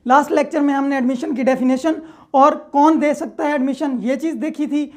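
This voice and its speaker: A woman with a Pakistani accent teaches in a high-pitched voice. She explains step by step in an informal lecture style, restating points for clarity and dropping in short check-in phrases. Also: steady tone, brisk. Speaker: law lecturer.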